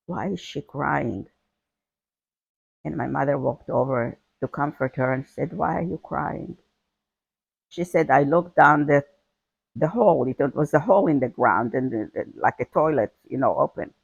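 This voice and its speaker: Gravelly older woman from Hungary. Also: low-pitched, no echo, lo-fi sound. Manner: halting, repeats words while recalling. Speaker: interviewee. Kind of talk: personal testimony.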